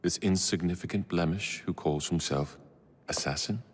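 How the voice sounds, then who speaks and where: An English actor playing a haughty, menacing Victorian industrial tycoon in a video game, speaking in pompous, deliberate oratory. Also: male voice, sits low, background noise, natural voice. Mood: cold menace. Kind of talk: dramatic video game acting. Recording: reverberant hall sound.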